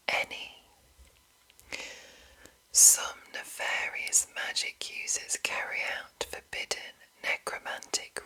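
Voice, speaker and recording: A woman whispers in a slow, deliberate ASMR reading. Her voice is all breath with hardly any voiced tone, and the whisper has a crisp, bright edge. She enunciates carefully, as a host presenting fantasy lore. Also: low-quality recording.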